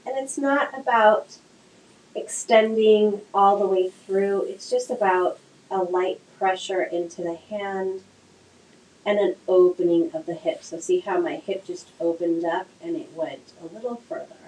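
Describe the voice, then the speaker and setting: A woman teaching a slow yoga class with gentle, soothing cues, her voice a little nasal from a cold. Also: mid-range voice, fairly even intonation. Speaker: yoga instructor. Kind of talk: guided yoga class instruction. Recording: echoey room, faint noise.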